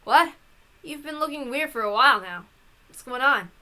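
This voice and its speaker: A woman's voice: sits high, lively intonation, moderate pace, sharp tone, voice pushed up, exaggerated voice. A woman voicing a casual, concerned companion in a story, with an informal, questioning manner.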